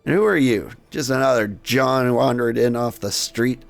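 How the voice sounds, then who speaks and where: Male reader as haughty Victorian madam, game character: brisk, impatient, businesslike, with creaky fry. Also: mid-range voice, lightly acted. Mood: indignant, dismissive.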